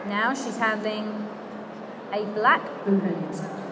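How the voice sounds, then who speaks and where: A woman working as ring announcer in a large indoor exhibition hall, calling out names slowly and clearly over a public-address system. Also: mid-range voice. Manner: slow, declamatory announcing of names. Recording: crowd and hall noise.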